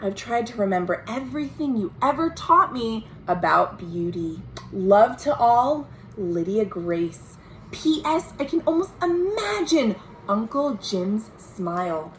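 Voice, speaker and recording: A woman reads as an earnest young American girl writing home in a storybook. The voice is high and very animated, lingering over words with sing-song emphasis. It is slow and full of hope. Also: a little hiss or hum. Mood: joyful. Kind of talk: expressive storybook letter reading.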